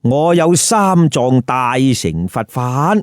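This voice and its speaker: Male storyteller as a solemn scabby holy monk in a tale, stately and drawn-out, dry sound. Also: mid-range voice, sing-song, no room sound. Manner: slow, declamatory, solemn. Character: bodhisattva disguised as a scabby monk, solemn, all-knowing.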